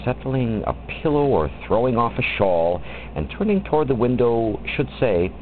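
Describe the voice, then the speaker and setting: Theatrical man reciting poetry, widely swooping pitch. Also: big pitch swings. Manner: theatrical, deliberate, with wide swoops and drawn-out phrases. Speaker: poetry reader. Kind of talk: expressive dramatic poetry recitation. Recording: muffled lo-fi recording with faint background noise.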